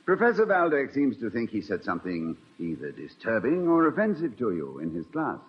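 An actor playing a witty, wry middle-aged college president in 1950s radio comedy, speaking in dry, erudite, formal phrases. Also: male voice, medium pitch, huge pitch swings, normal speed. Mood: puzzled, courteous. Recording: muffled vintage radio recording.

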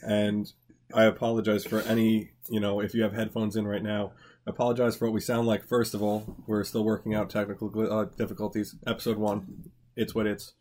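A man's voice: fairly low pitch, level tone, fairly quick, a little mellow, a little hiss or hum. A man in his twenties co-hosting a casual podcast, joking and laid-back, swearing now and then. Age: 20s. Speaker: podcast co-host. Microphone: podcast mic.

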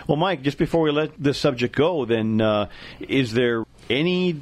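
A man's voice: medium pitch, moderate pace. A man hosting a casual talk show in a courteous, affirming manner. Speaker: radio show host.

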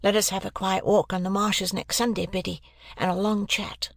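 A man's voice: high-pitched, flat delivery, moderate pace, gravelly, no room sound, studio quality, voice pushed up. A man voicing an earnest, discontented young man in a storybook reading, speaking impatiently about his life.

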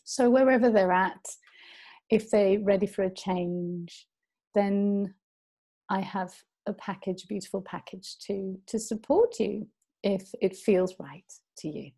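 A woman who is an awakening coach and mindfulness instructor, co-hosting a casual conversation in a soft, gentle way with frequent giggles. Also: mid-range voice, unhurried, slightly rough.

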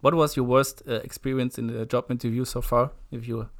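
A man's voice: mid-range voice, steady tone, fairly quick, gravelly. A young man in his twenties co-hosting a podcast in easygoing, casual chat, hesitating with fillers. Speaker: podcast co-host.

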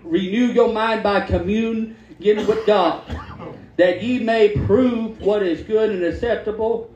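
A man's voice: sits high, fairly even intonation, takes it slowly, darkish tone, lo-fi sound. A man preaching a fervent Pentecostal sermon, exhorting emphatically and repeating phrases while the congregation calls back. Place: church hall during a service. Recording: echoey hall with congregation responding. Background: congregation calling out responses.

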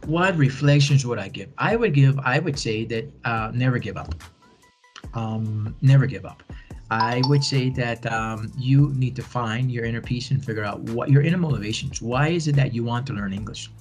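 A man's voice: mid-range voice, moderate pace, soft background music. A man in his forties, a bilingual educator answering interview questions in an earnest, encouraging way and often repeating phrases for emphasis. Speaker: podcast guest, bilingual educator.

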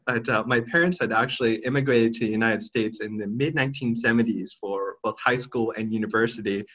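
A man in his thirties, originally from Thailand, answering interview questions thoughtfully with frequent pauses and restarts. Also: on the low side, steady tone, a bit bright, poor audio. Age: 30s. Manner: thoughtful, hesitant, many restarts and fillers. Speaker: podcast guest.

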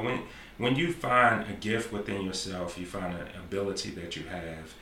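A forties man with a very low but bright, forceful voice hosts a podcast in a chatty, affirming way. His voice rings in a roomy space with faint background noise. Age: 40s. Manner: repetitive, affirming, talkative. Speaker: podcast host. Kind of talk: casual interview.

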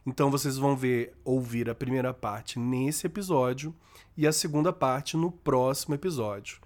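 A man's voice: mid-range voice, fairly even intonation, moderate pace, darkish tone. A Brazilian man co-hosting a podcast, chatting in a playful, camp way and laughing often. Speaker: podcast co-host. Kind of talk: campy casual chat.

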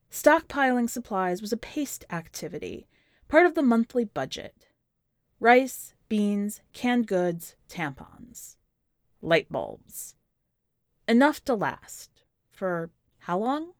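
A woman's voice: medium pitch, dry recording. Woman reading fiction, close dry sound. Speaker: podcast host reading a short story. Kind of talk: scripted literary narration.

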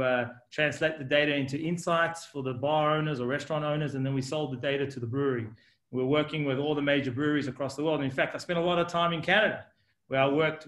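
A man's voice: on the high side, fairly bright tone. A man in his forties from South Africa, with an accent between South African and Australian, telling his career story as a podcast guest with many ums and uhs. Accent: South African and Australian mix. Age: 40s. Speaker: guest, company executive. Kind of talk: podcast interview. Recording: roomy echo.

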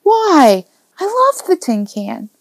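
A woman voicing an eager, proud nine-year-old boy in an audiobook, earnest and excitable. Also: fairly high pitch, moderate pace, dry recording, pitched above the performer's own voice. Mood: anguished, pleading. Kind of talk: audiobook character dialogue.

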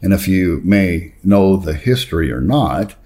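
A man's voice: sits low, lively intonation, measured pace, warm tone, breathy edge. A man reading a first-person testimony aloud in an earnest, plain-spoken storytelling way. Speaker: reader.

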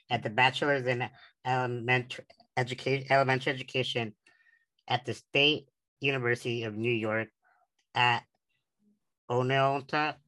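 Bright-voiced teenage podcast host. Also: male voice, medium pitch, poor audio. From San Diego. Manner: earnest, halting reading with restarts. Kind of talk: interview hosting.

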